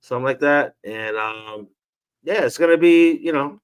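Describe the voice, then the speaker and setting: A man's voice: mid-range voice, moderate pace, smooth. A man hosting a live watch party stream, chatting casually in slang, calling people bro and laughing often. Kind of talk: casual chat.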